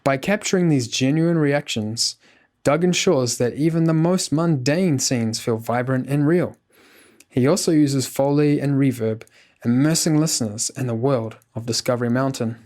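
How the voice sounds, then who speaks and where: A man's voice: medium pitch, normal speed, a little mellow. A man reading scripted documentary narration. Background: background music.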